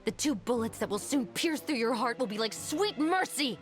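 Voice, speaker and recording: An actress playing a stern, coolly defiant young musketeer in a video game, speaking in a solemn, dramatic way. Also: female voice, on the high side, lively intonation, normal speed, soft background music, natural voice. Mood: cold threat.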